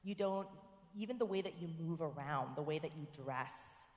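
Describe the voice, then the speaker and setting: A woman whose first language was Korean speaks as a guest in a long, ringing hall, her low voice earnest and reflective. She checks in with her listeners now and then and keeps a thoughtful, steady flow. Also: normal speed. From United States. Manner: earnest, tags points with "right?". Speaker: professor, forum guest. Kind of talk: reflective interview conversation. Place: church forum hall. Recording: echoey hall.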